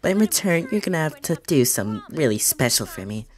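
A man's voice: on the high side, sing-song, fairly quick, a little mellow. A man voicing a flippant, teasing female cartoon bat in a breezy amateur comic voice-over.

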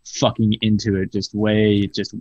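Teen male guest, lowish flat voice, dull dry call audio, loose and sweary. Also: measured pace, noisy background. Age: teens. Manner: casual, swears freely, stumbling restarts. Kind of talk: casual chat. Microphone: video call.

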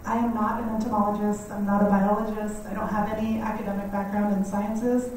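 Quiet woman lecturing in an echoey hall. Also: medium pitch, level tone, fairly quick. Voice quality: quiet, slightly scratchy voice. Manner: friendly, chatty, laughs often, many ums. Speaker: guest speaker on native bees. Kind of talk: community lecture. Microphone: standing mic heard from a distance. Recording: echoey hall, mic far off, faint noise.

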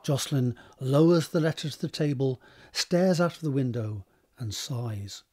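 A man's voice: mid-range voice, normal speed, dark tone. A man narrating stage directions for an audio drama in a scripted reading style.

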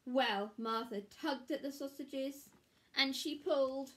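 A woman in her thirties reads a picture book to young children in a teacherly, expressive style. Her voice is fairly high and very unhurried. She is recorded in a small room at home. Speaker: primary school teacher reading a story.

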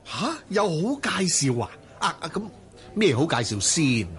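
A man with a Hong Kong Cantonese accent playing a smooth, lecherous, wealthy merchant in a radio drama, coaxing and flirting. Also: fairly high pitch, sing-song, measured pace, a bit bright. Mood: curious.

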